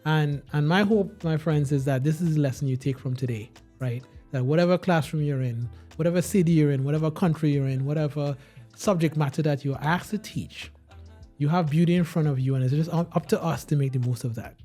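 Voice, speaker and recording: Podcast host guy, mellow voice, a bit high for a man, earnest and chatty, faint music under. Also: fairly quick. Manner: earnest, upbeat, laughs easily. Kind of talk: conversational podcast hosting.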